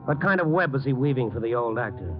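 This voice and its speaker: Man playing a hardboiled reporter, wry, medium-pitched, old radio drama narrator, muffled sound, music behind. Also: sing-song, quick pace, lightly acted. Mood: tense, brooding. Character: tough, wry male newspaper reporter narrating his case. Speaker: narrator and lead. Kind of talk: 1940s-1950s radio acting, first-person narration.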